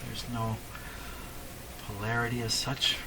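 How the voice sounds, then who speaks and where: A man's voice: mid-range voice, little pitch movement, unhurried, creaky, heavy background noise. A man thinking aloud in a casual hands-on demonstration, often asking himself questions as he works. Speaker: radio repair hobbyist, presenter. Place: indoor radio workshop.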